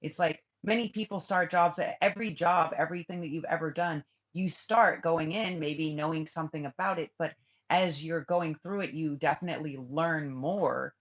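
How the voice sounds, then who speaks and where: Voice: mid-range voice, normal speed. A spiritual reader talking loosely on a live stream, looping through half-finished thoughts before landing on blunt, profane remarks to the chat. The audio is dull and lo-fi, like a muffled stream. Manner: rambling, blunt and profane, answering viewer comments; trails off and restarts often.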